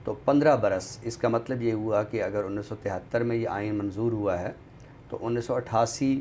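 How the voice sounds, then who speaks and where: A man with a fairly low voice and a Pakistani accent gives measured commentary as an expert guest on a talk show. He explains things in a conversational way, with clear noise behind him. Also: a little mellow.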